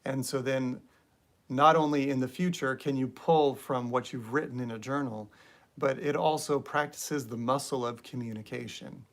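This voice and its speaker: A young adult man hosting a spiritual live stream, talking in a relaxed, rambling way with many fillers and reading viewer comments aloud. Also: medium pitch, moderate pace. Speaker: spiritual channel host.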